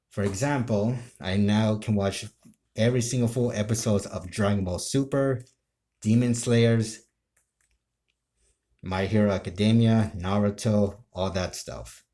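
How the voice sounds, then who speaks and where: A man chatting casually to his viewers as a video creator, rambling and circling back over halting explanations. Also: on the low side, mellow. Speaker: video creator and amateur role-player.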